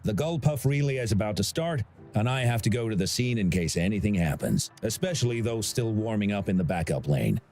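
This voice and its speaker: A man's voice: on the low side, brisk. An actor playing a genial, talkative older man who directs a sporting festival in a video game. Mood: rueful, brisk. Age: middle-aged. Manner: genial, chatty, expressive.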